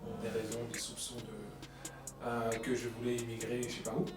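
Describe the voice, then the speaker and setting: A man speaking softly in a casual group talk, his sentences trailing off. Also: mid-range voice, monotone, moderate pace. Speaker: panel guest. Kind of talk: casual conversation. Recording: very echoey room, distant mic, music behind.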